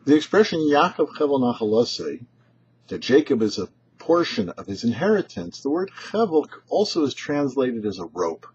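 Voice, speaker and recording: Male rabbi, show host: markedly husky voice, animated pitch, didactic measured reading of religious commentary, slightly muffled lo-fi sound. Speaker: rabbi, host of a weekly Torah portion show. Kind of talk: short scripted religious commentary, read aloud. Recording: dull, lo-fi, muffled audio.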